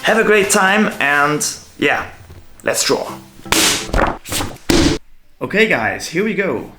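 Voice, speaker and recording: Guy, 30s, slow and halting, a bit high, joking about himself while he draws. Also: big pitch swings, echoey room, loud music behind the voice. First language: German. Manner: halting, self-deprecating, thinking aloud while drawing. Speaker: artist. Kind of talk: live drawing commentary.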